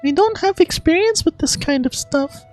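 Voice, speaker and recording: Game casting: childlike girl goddess played by a performer, very high, soft, wise, earnest. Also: expressive, moderate pace, soft background music. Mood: moved, earnest.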